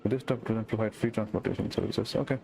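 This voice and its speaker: Lowish-voiced man streaming a game. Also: quick pace, creaky, crowd noise. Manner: off-the-cuff reactions, stumbles while reading. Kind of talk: casual playthrough commentary, reading game text aloud.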